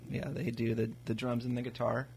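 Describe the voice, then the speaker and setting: A man, a bass player guest, telling how his band formed in a casual, steady way. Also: a little fast, gravelly, breathy edge. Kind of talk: casual storytelling.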